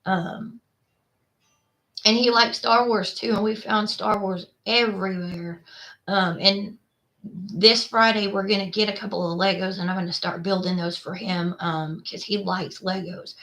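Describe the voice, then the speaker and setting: A woman's voice: medium pitch, steady tone, unhurried, a bit bright. A middle-aged woman from Texas with a Texan accent, chatting casually and frankly with lots of fillers and restarts. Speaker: vlogger. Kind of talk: casual chat.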